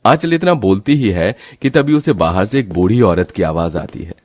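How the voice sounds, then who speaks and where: A man's voice: on the low side, animated, normal speed, no echo, faint music behind. A man giving scripted narration for a cartoon story.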